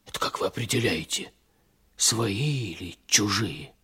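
A man acting in a radio drama as a calm, shrewd police investigator, polite but probing and dryly ironic. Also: on the low side, measured pace, husky, exaggerated voice. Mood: businesslike, polite.

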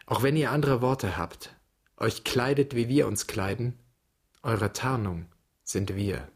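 Challenging inner voice, husky, mid-pitched, pointed phrasing, poetic radio play style. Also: male voice, a little slow. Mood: accusing. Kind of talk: literary radio play, clipped poetic lines.

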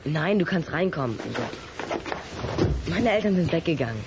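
An actor playing a schoolboy son in an audio drama, feigning innocence with a hesitant, evasive manner. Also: male voice, on the high side, expressive, normal speed, very noisy, natural voice. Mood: frank, glum.

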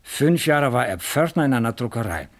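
An actor playing a puzzled, slightly defensive East German factory manager in a radio crime drama, with a husky voice and hesitant, earnest manner. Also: male voice, mid-range voice, big pitch swings, normal speed. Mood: puzzled, matter-of-fact.

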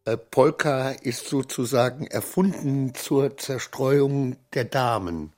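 An actor playing an anxious, muddled clerk in a radio play, muttering hesitantly to himself in inner monologue. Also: male voice, mid-range voice, takes it slowly, a little mellow, lightly acted. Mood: awkward, insistent. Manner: hesitant, muttering to himself, swinging from murmur to outburst. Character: anxious, muddled male clerk, brooding and self-persuading. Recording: studio radio play with music.

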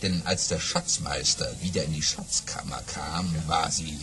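An actor playing a boastful baron who spins tall tales with dramatic pauses and exclamations in an audio play. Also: male voice, very deep voice, sharp tone, slight background noise, heavily acted. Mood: gleeful boasting. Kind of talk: German audio-play storytelling.

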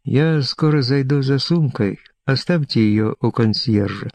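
Male narrator as courteous man, reserved, wry, slow pace, dry audiobook dialogue. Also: medium pitch, vocal fry, a little mellow, dry recording.

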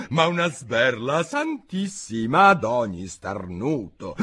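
A rude, ill-tempered duchess, as a male narrator puts her on in a storybook reading, raised in pitch and loud. She growls and snaps dismissively, and her voice is husky and rough. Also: heavily acted.

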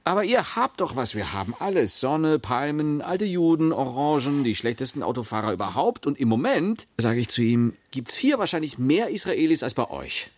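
A man's voice: medium pitch, very animated, normal speed, few pauses, no echo. A man playing a middle-aged, wry, sardonic husband and father who narrates a radio play in a conversational way. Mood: animated, needling. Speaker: narrator and character.

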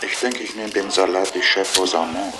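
Low male voice acted, formal gentleman diner, measured, polite, radio play, music behind. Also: fairly even intonation, lightly acted. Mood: reserved, polite. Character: reserved, formal gentleman diner in an old surveillance recording.

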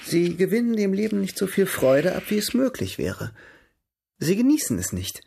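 A man's voice: sits high, huge pitch swings, moderate pace, creaky, dark tone, a little hiss or hum, heavily acted. An actor playing a charming, light-hearted artist, courteous and ardent as he courts his cousin in a radio drama. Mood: playful, courteous.

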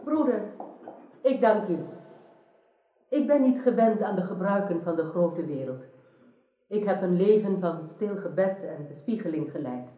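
A woman playing a demure, scheming sorceress and king's sister in a radio drama, speaking in a measured, formal way. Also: medium pitch, animated, close to an everyday voice. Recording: muffled phone-like band, roomy sound.

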